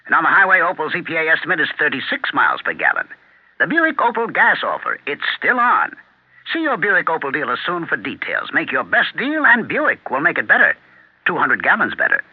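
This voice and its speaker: Male announcer, fairly high voice, very lively intonation, upbeat seventies ad read, dry tinny radio sound. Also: brisk, husky, dry recording. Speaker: commercial announcer. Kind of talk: upbeat 1970s radio car advertisement. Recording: dry, narrow old radio broadcast.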